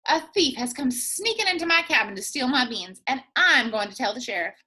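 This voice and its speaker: Woman putting on a high, feisty old granny. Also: expressive, brisk, bright, forceful tone, breathy edge, exaggerated voice. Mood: indignant, determined. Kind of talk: animated children's storybook read-aloud.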